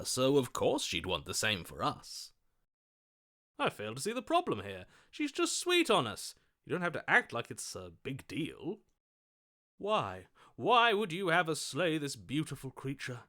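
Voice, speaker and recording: A melodramatic lovestruck romantic, swooping wildly in pitch. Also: male voice, sharp tone, exaggerated voice. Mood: adoring, earnest. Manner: theatrical, florid, overwrought. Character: lovestruck melodramatic romantic hero, a gushing voice in the head. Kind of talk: video game character voice acting.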